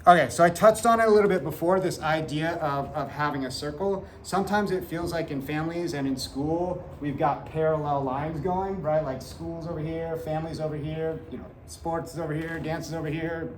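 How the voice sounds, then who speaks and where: A man's voice: on the high side, brisk, few pauses, roomy sound, a little hiss or hum, rough recording. A man in his forties, a seventh grade teacher, talking earnestly to parents in a classroom with many fillers, picked up by a camera a few meters off. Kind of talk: back-to-school talk to parents. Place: classroom in front of a whiteboard. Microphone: fixed camera at a few meters.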